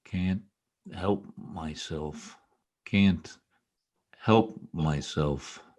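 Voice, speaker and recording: A man in an old-time radio play, acting a protective, brusque young state trooper with a gruff, commanding manner. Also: low voice, very slow, a little mellow, exaggerated voice. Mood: entranced, dazed. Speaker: amateur radio play actor. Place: home, over a video call.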